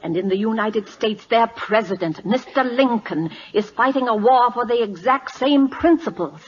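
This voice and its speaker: An actress playing a principled, outspoken governess at a royal court in a 1940s-style radio drama, speaking articulately, polite but firm. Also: female voice, fairly high pitch, expressive, moderate pace, natural voice. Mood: principled, persuasive. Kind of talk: 1940s American radio acting. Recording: muffled old-time radio recording.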